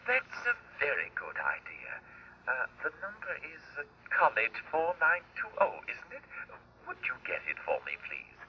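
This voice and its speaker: A man's voice: on the high side, huge pitch swings, moderate pace, gravelly, husky, exaggerated voice. An actor playing a polite, fussy male professor in a radio drama, speaking courteously, precisely and a little hesitantly. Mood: polite, deliberate. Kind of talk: old radio drama acting. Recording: dull, noisy vintage radio, telephone sound.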